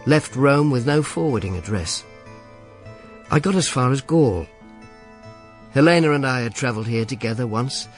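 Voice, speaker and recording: A man plays a world-weary Roman informer in a radio drama. His mid-pitched voice moves in very lively swings. He is sardonic and grumpy, with a dry wit. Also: normal speed, pauses often, soft background music, close to an everyday voice. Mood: wry, weary. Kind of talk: radio drama narration and dialogue.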